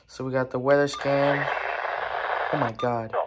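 A person talking informally and haltingly in a casual show-and-tell vlog, filmed on a handheld phone indoors at home. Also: mid-range voice, fairly even intonation. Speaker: collector presenting weather radios. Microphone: handheld phone camera. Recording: noisy handheld recording with clicks.

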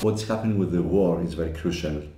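A man's voice: low voice, fast, over a noisy crowd. A man interviewed indoors, speaking earnestly about a crisis with slightly non-native phrasing. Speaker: activist interviewee. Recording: roomy echo, faint hiss.

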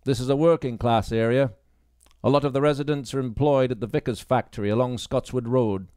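A man's voice: medium pitch, steady tone, fairly quick, a little mellow, smooth, dry recording, studio quality, close to an everyday voice. A man voicing an elderly male constable, an easygoing and kindly old-timer in an audiobook. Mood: reassuring, matter-of-fact.

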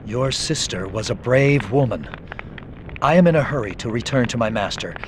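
Grim honorable ninja guy done by a male actor, formal and measured, video game cutscene, mid pitch. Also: lively intonation, noisy background, close to an everyday voice. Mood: calm, formal.

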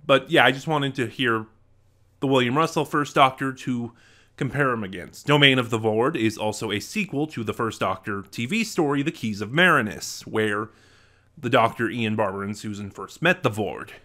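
Bright-voiced young man vlogging. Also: medium pitch, normal speed. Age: young adult. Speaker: vlog host. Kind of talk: casual unboxing and review vlog talk. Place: small home room, indoors. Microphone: desk microphone close in front.

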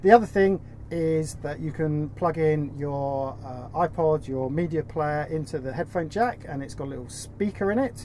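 A man's voice: on the high side, expressive, brisk, darkish tone, a little hiss or hum. A middle-aged man co-hosting a sailing vlog, chatting enthusiastically about gear in a boat cockpit outdoors. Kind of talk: casual chat presenting gear. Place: cockpit of a sailboat at anchor, outdoors.

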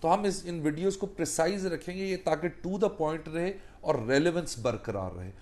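A man in his thirties with a Pakistani accent, explaining emphatically like a lawyer giving a lecture, deliberate when he switches into English. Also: a little high, lively intonation, darkish tone. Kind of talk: explanatory talk mixing Urdu and English. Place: small book-lined law office.

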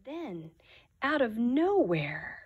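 A woman in her thirties, a teacher giving an expressive, deliberate read-aloud of a children's picture book. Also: on the high side, breathy edge, phone-quality sound.

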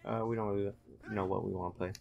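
Low, creaky-voiced man gaming. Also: steady tone, quick pace, darkish tone, muffled recording. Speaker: let's play host, playing the game. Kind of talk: casual gameplay commentary with a friend.

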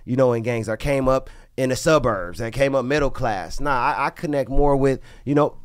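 A man's voice: mid-range voice, no room sound. A man in his thirties hosting a casual conversational interview in colloquial street slang, close on a studio microphone. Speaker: podcast host. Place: small soundproofed podcast studio. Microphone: close studio podcast microphone.

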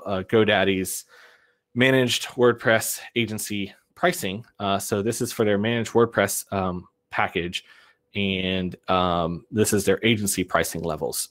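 A man in his thirties giving a casual webinar talk from a home office over a video call, loose and full of ums. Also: lowish voice. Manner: casual, many ums and uhs, restarts. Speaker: web agency owner presenting. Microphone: webcam video call.

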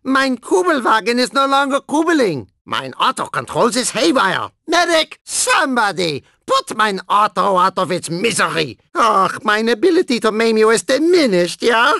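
Deranged cartoon cyborg racer, thick German accent, shrill and bright, wildly swooping pitch, whiny, gleefully violent, game character. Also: male voice, moderate pace, gravelly, hardly any pauses, dry recording, exaggerated voice. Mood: whining, distressed. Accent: exaggerated German. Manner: theatrical, excitable, tacking questioning tags onto remarks.

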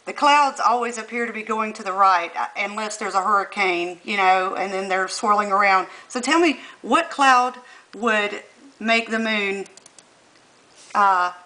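A woman's voice: mid-range voice, unhurried, bright, forceful tone, poor audio. A woman with a Southern US accent filming her computer screen and talking insistently to her viewers. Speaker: vlogger. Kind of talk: casual monologue. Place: indoors at a computer.

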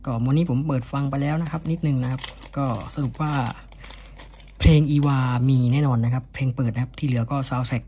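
A man speaking casually and unscripted as he presents an item from his collection, often ending phrases with polite particles. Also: mid-range voice. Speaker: cassette collector presenting an unboxing. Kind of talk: casual unscripted product showcase. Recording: steady background noise.

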